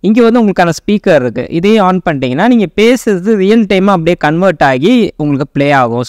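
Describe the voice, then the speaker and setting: High-pitched, quick-talking young Indian Tamil man. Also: no room sound, studio quality. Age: young adult. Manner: informal explainer, mixing in English words. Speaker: tech review YouTuber. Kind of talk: software tutorial narration.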